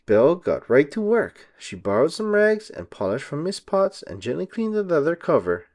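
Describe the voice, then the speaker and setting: A man giving an expressive, deliberate storybook narration for children. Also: fairly high pitch. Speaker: read-aloud narrator for children.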